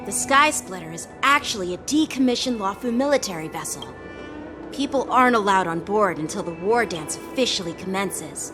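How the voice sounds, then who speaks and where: A woman's voice: mid-range voice, expressive, moderate pace, natural voice. A woman voicing an earnest teenage boy swordsman, music behind. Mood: proud, resolute. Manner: earnest, youthful, eager. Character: earnest, eager teenage boy swordsman, proud young knight. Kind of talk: English video game voice acting. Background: game soundtrack music.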